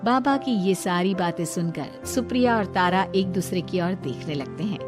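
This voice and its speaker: A woman's voice: fairly low pitch, expressive, moderate pace, over music. A woman narrating a scripted story.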